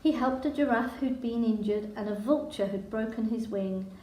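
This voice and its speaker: A woman reading a children's picture book in verse with a measured, dramatic storytelling delivery. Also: fairly high pitch, warm tone, a little hiss or hum. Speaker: storybook reader. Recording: echoey room.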